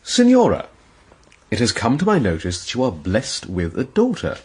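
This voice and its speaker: An actor playing a scheming priest making a formal, ingratiating approach, in a radio drama. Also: male voice, mid-range voice, huge pitch swings, normal speed, darkish tone, husky, heavily acted. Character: smooth-talking scheming priest on formal visit.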